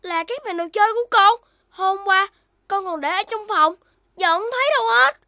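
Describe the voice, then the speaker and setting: A performer voicing a playful, stubborn, curious young child in an animated, childlike way for a children's cartoon, with music underneath. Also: very high voice, normal speed, no echo, studio quality, heavily acted. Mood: anxious.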